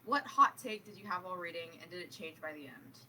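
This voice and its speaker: Woman, bright tone, casual group discussion, loose filler-heavy phrasing, distant mic, faint noise. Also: lowish voice, expressive, fast talker. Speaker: book club participant.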